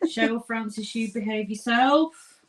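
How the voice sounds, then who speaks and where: A woman's voice: on the high side, a little slow. A woman joins a craft stream as the helper. She teases her friend and laughs at her own lack of skill. She speaks from across the table, further from the mic. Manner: teasing, self-deprecating. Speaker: co-host on the stream. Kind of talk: casual banter. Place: across the table from the host, indoors.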